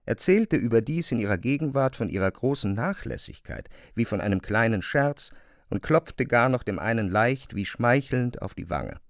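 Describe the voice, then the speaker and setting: A man's voice: medium pitch, lively intonation, normal speed, no room sound, studio quality. A man narrating a classic novel as scripted literary prose.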